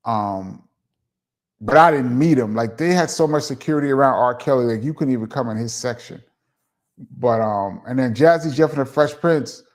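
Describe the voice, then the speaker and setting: A middle-aged man from Brooklyn with a New York accent, casually hosting a live stream and answering viewer questions in a laid-back, chatty way. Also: a little high. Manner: laid-back, chatty, laughs and says um often. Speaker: host of a live chat show.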